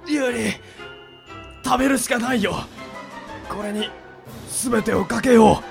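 Man acting a high, gravelly, excitable old-man impostor. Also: measured pace, soft background music, exaggerated voice. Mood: flustered, earnest.

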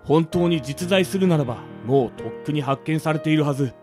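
An actor playing a stern, worried butler in a radio drama, speaking in stiff, archaic, very polite phrases with music behind him. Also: male voice, fairly high pitch, a little fast, natural voice. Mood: grave. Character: formal, stern, worried elderly male butler. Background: background music.

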